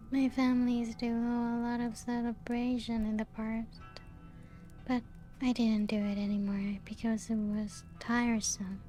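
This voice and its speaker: A woman playing a genteel, serene, playful ancient vampire in a soft-spoken ASMR roleplay, with a breathy, often half-whispered voice and gentle lilt. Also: a little high, level tone, close to an everyday voice, rough recording. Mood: nostalgic, gentle. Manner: slow, unhurried, gently lilting storytelling. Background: music.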